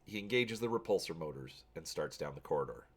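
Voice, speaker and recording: A man's voice: extremely low pitch, lively intonation, fast talker, breathy edge. A man hosting a channel, talking casually to viewers and reading an adventure story aloud with drama.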